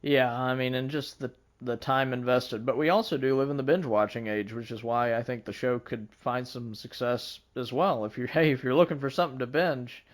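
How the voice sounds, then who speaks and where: Measured man chatting on a podcast. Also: medium pitch. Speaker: podcast co-host or panelist. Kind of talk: casual podcast discussion.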